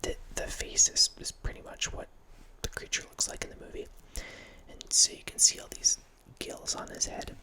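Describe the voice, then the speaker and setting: Whispering man close to the mic. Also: moderate pace. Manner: whispering throughout, relaxed film-nerd musing with frequent restarts. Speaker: ASMR channel host. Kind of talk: whispered ASMR ramble. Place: small home room with bookshelf. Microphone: studio mic close up, headphones on.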